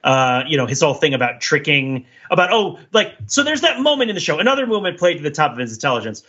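Bright, husky-voiced man talking analytically. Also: fairly high pitch, lo-fi sound. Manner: discursive, builds long layered arguments. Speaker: podcast co-host. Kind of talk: casual analytical panel chat about TV.

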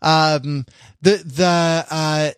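A man with a slightly high voice chats casually as a podcast co-host. He muses in halting starts and circles back over phrases, recorded very dry with no room sound. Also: fairly even intonation, clear, resonant tone, dry recording. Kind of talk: casual chat.

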